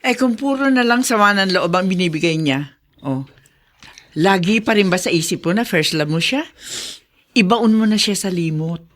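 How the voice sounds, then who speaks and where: A woman's voice: mid-range voice, lively intonation, normal speed, close to an everyday voice. A woman acting a blunt, exasperated, scolding friend with a no-nonsense, emphatic manner in a Filipino radio drama.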